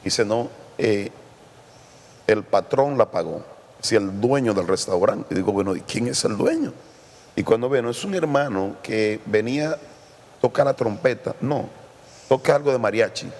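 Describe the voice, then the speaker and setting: Mexican pastor telling stories in a reverberant room. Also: male voice, on the low side, normal speed. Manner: lively anecdotes with quoted dialogue. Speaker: guest pastor. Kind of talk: casual storytelling in a church podcast.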